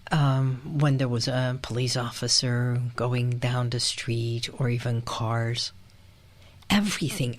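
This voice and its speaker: A middle-aged woman from Iran hosting a radio show, talking in a soft, meditative way with sighs and breathy pauses. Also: a bass voice. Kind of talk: reflective solo radio talk.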